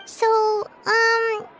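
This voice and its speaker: A woman voicing a tiny, excitable, childlike fairy companion in an anime-style video game, with a squeaky voice. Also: noisy background, exaggerated voice. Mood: dejected. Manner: refers to self in third person, exclamatory.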